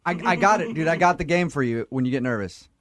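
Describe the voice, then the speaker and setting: Male morning radio host, quick pace, slightly high pitch, joking and teasing banter. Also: fairly bright tone, no echo.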